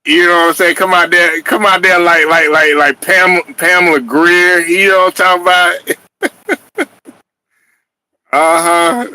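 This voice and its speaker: A man hosting a casual sports talk show, animated and trash-talking, often laughing and hollering. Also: high-pitched, unhurried, pauses often, no room sound, low-quality recording. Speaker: podcast host.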